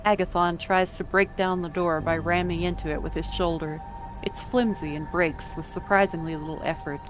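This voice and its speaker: A woman reads scripted story narration, addressing the listener as the main character. Her voice is somewhat low. Music plays clearly behind her. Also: fairly even intonation, moderate pace, low-quality recording.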